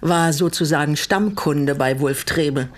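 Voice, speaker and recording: A woman's voice: low-pitched, a little slow. An actress playing a resolute, bitter older woman in a German radio drama, speaking in a composed, pointed way. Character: resolute, bitter older widow receiving guests.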